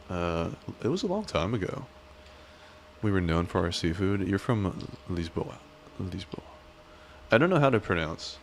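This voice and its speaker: A man chats on a live stream, wandering from joke to joke and teasing himself along the way. His voice sits very low. A faint hiss runs under the recording. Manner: rambling, joking, self-deprecating. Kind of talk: casual live-stream chat.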